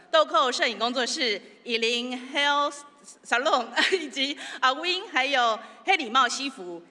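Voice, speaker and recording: A woman's voice: on the high side, bright, forceful tone. A woman giving an award acceptance speech into a stand microphone on stage. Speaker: radio show host accepting an award. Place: on stage in a large theatre. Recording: echoey large auditorium with long reverb.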